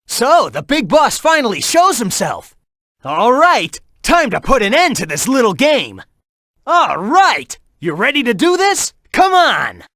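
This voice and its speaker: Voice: mid-range voice, wildly swooping pitch, unhurried, fairly bright tone, no room sound, studio quality, exaggerated voice. An actor voicing a cocky, upbeat young male hedgehog hero in a video game, brash and playful, calling out with urgency. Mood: determined. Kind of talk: animated video game voice acting.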